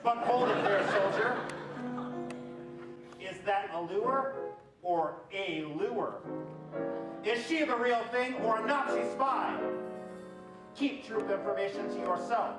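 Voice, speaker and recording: A man playing a stern wartime army narrator giving a dramatic public-service warning in a staged old-time radio show. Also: high voice. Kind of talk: 1940s radio propaganda announcement. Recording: very echoey distant stage recording.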